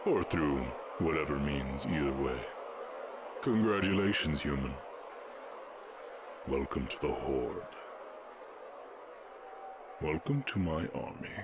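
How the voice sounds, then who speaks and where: A man voicing a stern, imposing orc warchief in a fantasy audio roleplay, speaking in deliberate, imperious proclamations. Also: rumbling low, lively intonation, background noise, heavily acted. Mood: solemn proclaiming.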